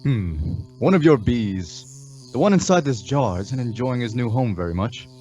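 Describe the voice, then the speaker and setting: An actor playing a calm masked cowboy hero, speaking in a measured, reassuring way in an old-time western radio drama. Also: male voice, medium pitch, soft background music, natural voice. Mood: curious.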